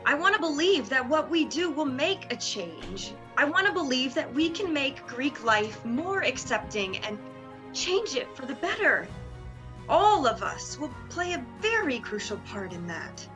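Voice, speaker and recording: A woman's voice: sits high, sing-song, a little slow, fairly bright tone, soft background music, exaggerated voice. A young woman playing a proud, wounded and determined sorority leader in a dramatic reading. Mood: earnest.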